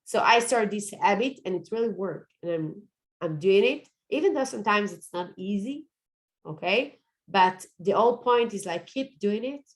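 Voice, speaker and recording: Earnest woman. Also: medium pitch. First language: Hebrew. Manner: earnest, repeats words, adds "okay?" checks. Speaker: positive psychology coach. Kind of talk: webinar presentation.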